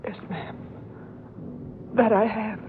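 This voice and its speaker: An actress playing an ailing, frightened housemaid, pleading in a hushed, deferential way in old-time radio horror. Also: female voice, medium pitch, little pitch movement, slow pace, close to an everyday voice. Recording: tinny band-limited old radio, hissy.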